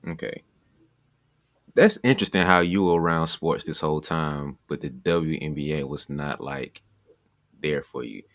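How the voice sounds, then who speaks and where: Very deep-voiced man host. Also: normal speed, a bit bright, no echo, clean studio sound. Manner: rambling, frequent "like". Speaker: podcast host, basketball trainer. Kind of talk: casual interview questions.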